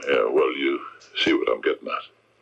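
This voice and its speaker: An actor playing a gruff retired policeman turned private investigator, hesitant and plain-spoken, in a radio mystery drama. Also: a bass voice, steady tone, moderate pace, fairly bright tone, full character voice. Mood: frank, insistent. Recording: dull vintage radio broadcast.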